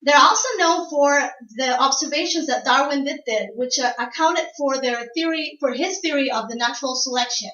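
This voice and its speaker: A young woman gives a prepared class presentation and speaks straight to her listeners. Her voice sits high. It is heard from a distance in an echoey room, with a dull lo-fi sound. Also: moderate pace, hardly any pauses, pushed and bright. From Ecuador. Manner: prepared, explanatory, addresses the audience directly. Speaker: student speaker. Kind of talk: classroom informative speech.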